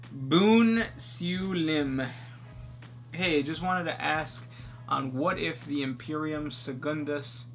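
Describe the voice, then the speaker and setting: A man's voice: on the high side, fairly bright tone. A man co-hosting a casual chat, reading out fan questions with easygoing fillers. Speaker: podcast co-host reading questions.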